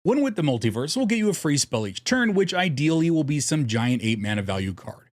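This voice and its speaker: A man's voice: fairly high pitch, sing-song, a little fast. A man hosting a scripted guide video, narrating in a casual style with playful, wry asides. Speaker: host of a card game video.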